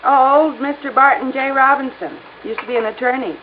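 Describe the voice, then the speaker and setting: A woman's voice: high voice, a little fast, phone-quality sound, lightly acted. An American actress playing a teasing, affectionate girlfriend in a 1950s radio drama, with a coy, playful manner. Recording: thin, hissy old-time radio recording.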